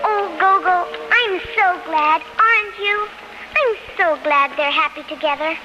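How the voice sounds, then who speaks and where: A woman's voice: very high voice, unhurried. A woman playing an eager, sweet, earnest small child in a 1930s radio drama. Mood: delighted wonder. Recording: muffled 1930s radio, loud music.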